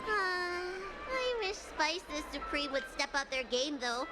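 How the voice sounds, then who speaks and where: A woman voicing a playful, proud, childlike little girl in a video game, with loud music behind her. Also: high voice, slow. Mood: wistful, excited. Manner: childlike, expressive. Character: cute, playful little girl healer, food-loving and proud. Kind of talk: video game character dialogue.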